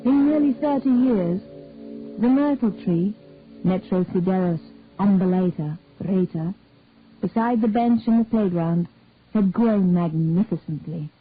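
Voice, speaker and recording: A woman reading a detective story aloud in a measured, even way. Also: mid-range voice, soft background music. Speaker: story reader. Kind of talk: scripted narration of a detective story. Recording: dry, tinny phone-like audio.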